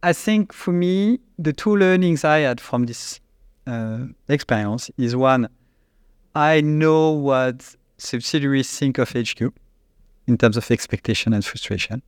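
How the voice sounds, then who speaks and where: A man with a French accent, a company CEO, answering interview questions in a halting, searching way with frequent restarts. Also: mid-range voice, animated, smooth, no room sound, studio quality. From France. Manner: halting, restarts often, searches for English words.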